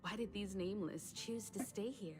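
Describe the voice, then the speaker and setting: A woman voicing a gracious, knowing navigator in a video game, speaking in a measured, formal, gently musing way. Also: medium pitch, lightly acted. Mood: gracious, reflective. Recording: game dialogue over music.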